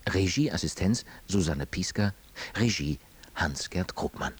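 A man with a husky voice giving a formal radio announcement, reading out credits. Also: sits low, expressive, a little slow, no echo.

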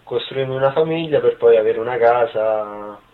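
A man's voice: medium pitch, normal speed. A man in his early twenties giving brief, informal answers in an interview. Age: 20s. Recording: thin phone-like sound.

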